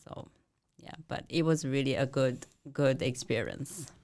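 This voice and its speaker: A woman's voice: rumbling low, a little slow, darkish tone. A woman from China with a Chinese accent, a nurse practitioner telling stories in casual interview talk, with simple non-native phrasing and frequent laughs.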